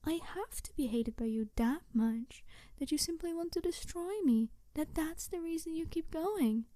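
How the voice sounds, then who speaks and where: A woman playing a snarky, bitter supervillain in an ASMR audio roleplay, taunting her captive in a theatrical, deliberate way with breathy whispered touches. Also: very high-pitched, lively intonation, dark tone, no room sound. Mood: sardonic, unsettled.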